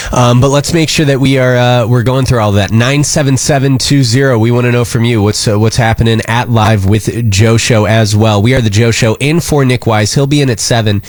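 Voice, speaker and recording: A man's voice: lowish voice, dry recording. An American man hosting a live radio show, talking casually and earnestly in a rambling, concerned way.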